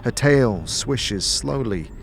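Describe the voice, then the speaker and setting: A man narrating a scripted fantasy audio drama in a measured, deliberate, dramatic style. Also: mid-range voice, animated, gravelly, a little hiss or hum.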